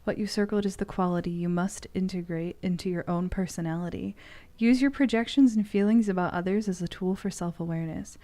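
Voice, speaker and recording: A woman's voice: on the low side, brisk, mellow. A woman giving tarot readings on a stream in a playful, casually profane way, moving between loose chat and reading aloud.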